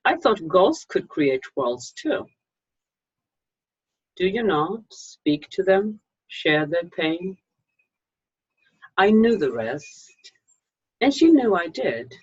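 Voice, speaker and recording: Female author-reader, Swedish accent. Husky voice, measured slow delivery, slightly dull lo-fi recording. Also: on the low side, very animated. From Sweden. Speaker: magazine co-publisher and author reading her own story. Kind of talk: informal introduction, then slow literary story reading. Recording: dull lo-fi microphone sound.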